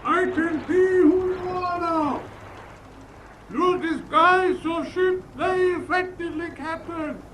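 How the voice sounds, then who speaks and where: An actor playing a brusque German U-boat commander in a radio drama, shouting deliberately as he hails across water. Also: very high-pitched, a little hiss or hum, exaggerated voice, poor audio. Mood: brusque, hailing.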